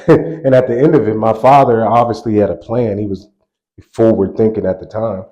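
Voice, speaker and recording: Man, 40s, fairly low pitch, basketball coach, casual storytelling, laughs often, lots of restarts. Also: fairly even intonation, moderate pace, mellow. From grew up on a US army base in Germany. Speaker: college basketball assistant coach, guest.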